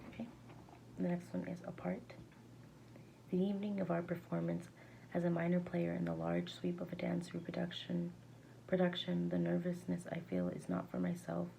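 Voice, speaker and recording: A woman reading a verse novel aloud as an amateur, with a creaky, gravelly voice and a halting delivery that sometimes stumbles and rereads words. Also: on the low side, mellow, rough recording. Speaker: amateur reader. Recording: faint background noise.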